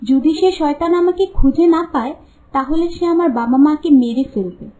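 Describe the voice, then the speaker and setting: A woman's voice: sits high, moderate pace, warm tone, dull audio, close to an everyday voice. A woman voicing an anxious young runaway princess in a cartoon story, worried and cautioning. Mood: distressed.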